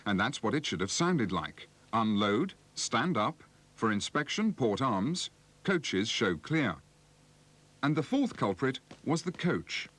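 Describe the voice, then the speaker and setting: A British man delivering measured, explanatory scripted narration for an instructional film. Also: medium pitch, big pitch swings, a bit bright. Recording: slightly noisy 1980s film soundtrack.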